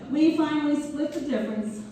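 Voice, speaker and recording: A woman playing a gracious, wryly humorous benefactor delivering a measured, playful dedication speech in a staged reading. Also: high voice, a crowd in the background, lightly acted. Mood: humble, playful. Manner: measured, deliberate, playful oratory. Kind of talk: theatrical read-through of a formal speech. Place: theater hall, live staged reading. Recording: echoey hall from far off, faint noise.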